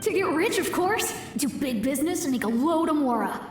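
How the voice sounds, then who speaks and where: A woman's voice: high-pitched, expressive, brisk, noisy background. An eager little boy, echoey. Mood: boastful. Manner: boastful, eager. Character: small boy dreaming of riches. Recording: echoey, distant memory sound.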